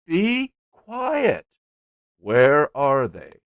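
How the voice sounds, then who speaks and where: A man's voice: on the low side, big pitch swings, a little slow, gravelly, no room sound, highs cut off, exaggerated voice. An irate storybook father, acted out by a male narrator, who bellows and barks his orders in a dry, close recording. He is middle-aged and short-tempered, with an explosive manner. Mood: exasperated, furious. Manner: roaring, explosive commands.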